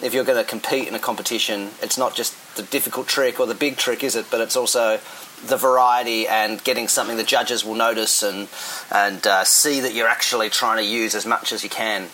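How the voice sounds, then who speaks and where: A man's voice: fairly low pitch, fairly even intonation, brisk, pushed and bright, poor audio. Middle-aged male interviewer, faint hiss. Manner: conversational, asks leading questions. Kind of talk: casual interview.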